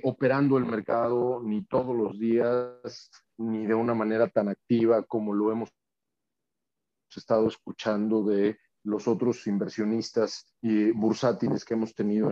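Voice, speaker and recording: A Mexican man hosts a relaxed podcast interview, friendly and a little rambling as he circles back over his words. His voice is mellow and soft-edged, and the audio sounds slightly muffled. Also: mid-range voice. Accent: Mexican Spanish. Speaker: podcast host. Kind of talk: casual interview chat.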